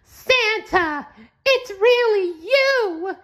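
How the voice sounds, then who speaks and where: An excitable little cartoon sea creature, pitched extremely high and swinging all over. The character is sweet, earnest and eager, exclaiming like a thrilled child in a storybook. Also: male voice, extremely slow, heavily acted. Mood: awed, thrilled.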